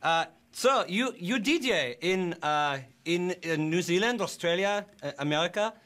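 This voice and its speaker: A man playing a nervous, bumbling German interviewer who speaks halting broken English with a German accent. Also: high-pitched, lively intonation, a bit bright. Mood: awkward. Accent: German (put on). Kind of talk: prank interview.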